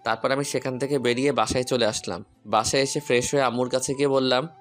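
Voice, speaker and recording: A man's voice: mid-range voice, steady tone, fast, a bit bright. Man narrating over guitar music. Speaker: story narrator. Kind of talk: scripted first-person story narration with acted dialogue. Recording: music under the voice.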